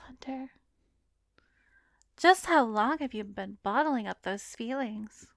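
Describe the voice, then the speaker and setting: A woman playing an arrogant, seductive vampire therapist in a roleplay ASMR, teasing and hypnotic, slipping into breathy whispers. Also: a little high, dry recording, studio quality. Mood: amused, condescending. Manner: haughty, teasing, drawn-out delivery with breathy whispers. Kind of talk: roleplay ASMR, slow hypnotic close-up acting.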